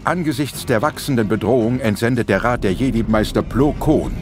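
A man's voice: medium pitch, brisk, darkish tone. A man narrating a science fiction audio drama in a dramatic, scripted style. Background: orchestral music.

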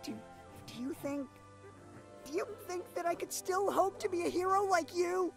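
Woman as timid, high-voiced cartoon boy. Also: moderate pace, gravelly. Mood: earnest, hopeful. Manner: hesitant, earnest, stammering. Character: timid, earnest teenage boy who idolizes heroes. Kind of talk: animated parody dub. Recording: music behind the voice.

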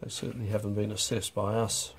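A man talking through a stamp auction lot in a dry, unhurried way, with wry asides, recorded over a desk. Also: on the low side, level tone, vocal fry, slight background noise. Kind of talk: off-the-cuff lot walkthrough. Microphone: overhead camera on a desk.

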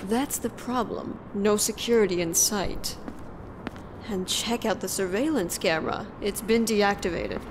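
A woman's voice: mid-range voice, measured pace, noisy background, lightly acted. An actress playing a tough, curt veteran policewoman in an audio drama, terse and commanding. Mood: wary, terse.

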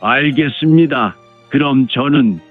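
A man's voice: medium pitch, measured pace, close to an everyday voice. A man playing a hot-blooded clan lord who fawns on his superiors, speaking formally and deferentially in a martial-arts audio drama. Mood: obsequious. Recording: phone-like narrow sound, music behind.

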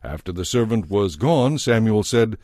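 Low, lively male Bible narrator. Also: moderate pace, no room sound, clean studio sound. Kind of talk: scripted dramatized Bible narration.

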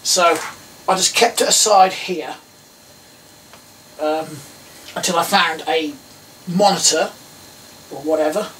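A man's voice: fairly high pitch, takes it slowly, pauses often, fairly bright tone. A middle-aged man with an English accent gives a casual hands-on tutorial, rambling and thinking aloud in a small indoor workroom. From England. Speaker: host of a DIY electronics video. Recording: distant mic, some background noise.

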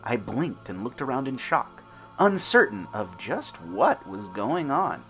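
Man narrating, lively swooping delivery, wry, theatrical, faint music underneath. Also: medium pitch, measured pace, poor audio. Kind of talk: dramatic fan fiction reading.

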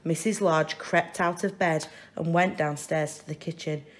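A woman reading a children's story aloud in an expressive, deliberate way, with careful phrasing. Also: low-pitched, slight background noise. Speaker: storybook reader. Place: indoors, reading a picture book at a wooden table.

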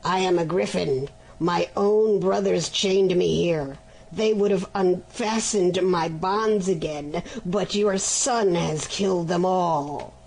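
A woman voicing a sly, scheming male griffin in a fairy tale reading, speaking low and coaxing. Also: slow pace, vocal fry, darkish tone, poor audio.

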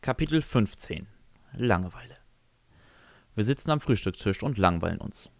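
Low-voiced man narrating, close and dry. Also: animated, moderate pace, gravelly, no room sound. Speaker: narrator of a fan fiction audio story. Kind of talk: scripted first-person comic narration.

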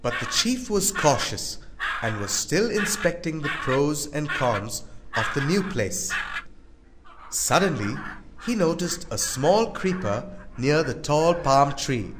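A man's voice: a little high, big pitch swings, slow, background noise. A man narrating a scripted moral story for children.

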